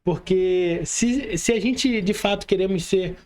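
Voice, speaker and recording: A man's voice: high voice, measured pace, a little mellow. A man with a Brazilian Portuguese accent hosting a casual podcast interview, speaking informally with slang and frequent tag questions seeking agreement. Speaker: podcast host.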